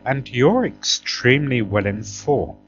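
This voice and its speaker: A man's voice: mid-range voice, sing-song, unhurried, a bit bright, lo-fi sound, a little hiss or hum. A man from Tanzania, middle-aged, talking conversationally as an interview guest, emphatic and often checking for agreement as he reminisces.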